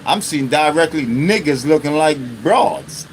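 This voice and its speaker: A man's voice: a little high, expressive, a little slow, a little hiss or hum, poor audio. A man in his fifties hosting an unscripted live stream, talking bluntly and profanely and often checking in with his listeners. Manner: blunt and profane, with constant rhetorical check-ins.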